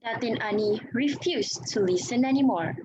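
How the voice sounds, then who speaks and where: A woman's voice: on the high side, moderate pace, darkish tone, slight background noise, rough recording. A woman narrating a story as a scripted dramatic reading.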